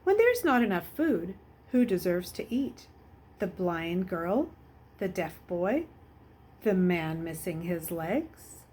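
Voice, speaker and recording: A woman's voice: lowish voice, sing-song, takes it slowly, a little mellow, slight background noise. A woman voicing a wealthy older male schoolmaster in a storybook, with formal, rhetorical phrasing and a bitter, wry temper. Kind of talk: children's novel read aloud.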